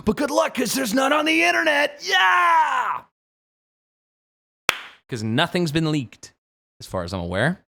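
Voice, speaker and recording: Brief: man in his 20s, game streamer, joking late-night banter, very animated pitch, loud outbursts. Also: unhurried, vocal fry, pauses often. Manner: playful, jokey, swings from mumbling to sudden shouts. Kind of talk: late-night livestream chat.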